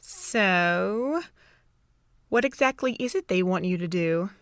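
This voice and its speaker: A woman's voice: lowish voice, lively intonation, slow, fairly bright tone, no room sound, clean studio sound, exaggerated voice. A young woman playing a chirpy, caring and nosy college student in an amateur audio drama. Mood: playful.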